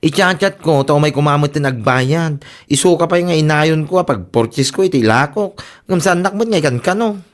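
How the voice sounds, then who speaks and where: A woman's voice: low voice, animated, a little fast. A woman with a Filipino Ilocano accent playing a grumbling neighbour who lends and chases debts, in a comedy radio drama. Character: grumbling female neighbour, lender chasing unpaid debts.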